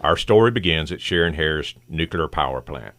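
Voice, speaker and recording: A man's voice: very deep voice, animated, fairly quick, over music. A man playing the solemn narrator and chronicler of a superhero radio serial, with a dramatic delivery.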